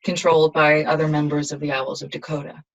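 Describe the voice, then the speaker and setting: Fields: Sex: woman acting as a female rebel. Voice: lowish. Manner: earnest, intense. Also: little pitch movement, brisk, creaky, lo-fi sound. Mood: confessional. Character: resolute young female rebel assassin from a dystopian future. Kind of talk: dramatic audio drama acting.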